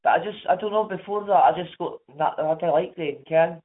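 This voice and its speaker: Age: adult. Sex: man. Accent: Scottish. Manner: rambling, apologetic, ends sentences with "eh?". Pitch: somewhat high. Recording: narrow phone line. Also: brisk. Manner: rambling, apologetic, keeps adding "eh?" and "right?". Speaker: caller, prospective legal client. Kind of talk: casual phone call.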